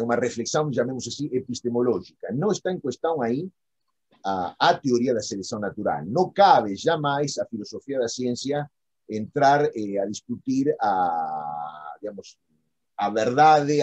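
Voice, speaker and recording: A middle-aged man from Argentina with an Argentine Spanish accent, a native Spanish speaker, explaining in a halting, self-correcting academic conversational way. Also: mid-range voice, darkish tone, husky. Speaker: philosophy professor, interview guest. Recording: dull lo-fi audio.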